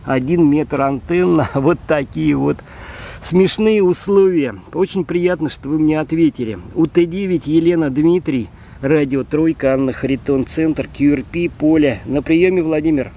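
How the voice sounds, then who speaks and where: A man's voice: moderate pace, muffled recording. A man in his fifties making a friendly, casual amateur radio contact, speaking outdoors. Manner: friendly, spells call signs with phonetic words. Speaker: amateur radio operator and vlogger. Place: outdoors in a city park. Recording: outdoor park with faint rumble.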